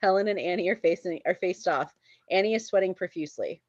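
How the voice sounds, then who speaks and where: A woman's voice: on the low side, fast talker, no echo, lo-fi sound. A woman reading screenplay stage directions as the narrator of a table read.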